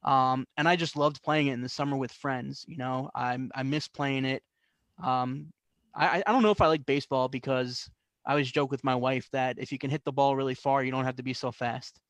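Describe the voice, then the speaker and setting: A man's voice: mid-range voice, fairly even intonation, a little fast, fairly bright tone, no room sound. A young adult man from the New York area telling stories as a guest in a casual interview, with many fillers and restarts. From New York and New Jersey, US. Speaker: guest artist.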